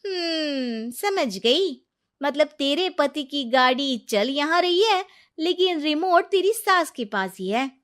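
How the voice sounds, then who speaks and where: Woman acting chatty, high-voiced young friend. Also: animated, slow pace, a bit bright, exaggerated voice. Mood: knowing. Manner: chatty, teasing.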